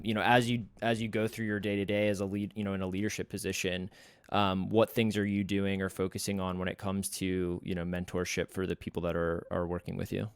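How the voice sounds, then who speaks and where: Low-voiced male interview host. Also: smooth, no room sound. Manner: rambling questions with restarts. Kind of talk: podcast interview.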